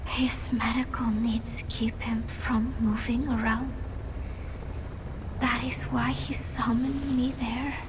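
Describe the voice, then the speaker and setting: An actress playing a terse young woman assassin who speaks slowly and in hushed whispers. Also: high-pitched, exaggerated voice. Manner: few words, slow, hushed whispering. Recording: game cutscene with background noise.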